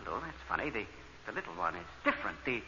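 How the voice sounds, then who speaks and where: An actor playing an eager, obsessive scientist on a spaceship crew in an old-time radio drama, thinking aloud in halting, breathless bursts. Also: male voice, medium pitch, big pitch swings, pushed and bright. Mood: awed, absorbed. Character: excitable, obsessive male space-crew scientist, full of wonder. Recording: noisy, muffled old radio recording.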